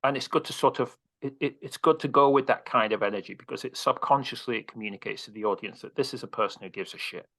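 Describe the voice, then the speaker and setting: Male speaking coach, bright voice, blunt and casual, a mid-range pitch. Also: fast, hardly any pauses. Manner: direct, casual, swears freely. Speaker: presentation coach. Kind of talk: interview answers, advice.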